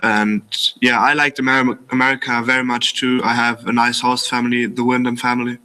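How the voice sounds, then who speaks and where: Flat, bright-voiced German teen. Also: male voice, medium pitch, a little fast, distant mic, low-quality recording. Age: teens. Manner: short, plain sentences. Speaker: exchange student. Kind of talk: thank-you speech at a public meeting.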